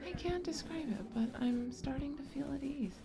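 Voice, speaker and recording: A woman playing a shy, sweet, nerdy young goblin girl in an ASMR romance roleplay, speaking softly and hesitantly with bashful stammers. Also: high voice, lively intonation, normal speed, creaky, noisy background. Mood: tender, nervous.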